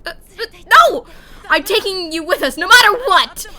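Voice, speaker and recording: A woman's voice: extremely high pitch, lively intonation, normal speed, sharp tone, a faint crowd, exaggerated voice. A woman voicing a brave, earnest and polite schoolboy in an anime-style fan dub. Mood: urgent, determined.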